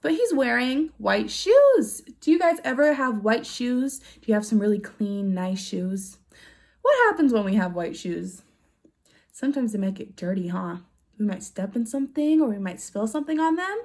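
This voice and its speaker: A woman reading a picture book aloud to young children in an animated way, putting questions to her listeners. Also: a little high, moderate pace. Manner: animated, with gasps and exclamations. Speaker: storybook reader for young children.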